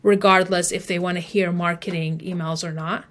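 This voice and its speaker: A woman's voice: lowish voice, steady tone, normal speed, a bit bright. A woman speaking as an expert guest in a conversational interview, explaining things step by step in an informal way, with plenty of "like" and "you know". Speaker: guest email marketing expert.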